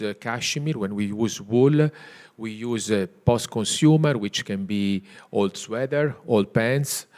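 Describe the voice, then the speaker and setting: Italian-accented middle-aged man, non-native English, slow hesitant delivery, low register. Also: a little mellow. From Italy. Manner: hesitant, many filler sounds, searching for English words. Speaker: CEO of a textile mill, panel guest. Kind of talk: panel discussion. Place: retail store event space.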